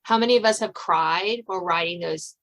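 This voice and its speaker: A woman's voice: fairly low pitch, a little fast, a bit bright. A woman podcast host chatting casually with guests, giggly and often interrupting her own sentences.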